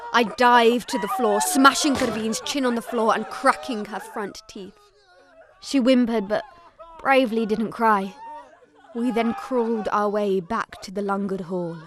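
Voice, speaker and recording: Girl survivor, high female voice, scripted first-person narration, audio drama. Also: measured pace, a bit bright, slight background noise. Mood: grave. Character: young girl survivor recounting an army attack.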